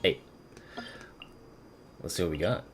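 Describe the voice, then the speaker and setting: A man's voice: a little slow, background noise. A man casually commentating on a game livestream, talking to himself as he plays. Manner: talks to himself, reads game text aloud. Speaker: game streamer. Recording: game music behind the voice. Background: game music.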